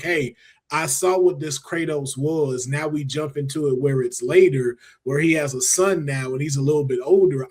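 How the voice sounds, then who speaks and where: A man hosting a casual pop culture discussion in a colloquial, emphatic, animated way. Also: a little high, husky. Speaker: podcast host.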